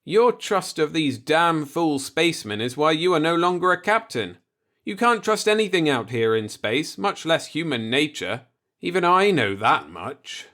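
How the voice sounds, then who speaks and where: A man's voice: a little high, sing-song, measured pace, a bit bright, voice pushed up. A man voicing a stern, distrustful young spaceship captain in a sci-fi audiobook, blunt and sardonic but earnest.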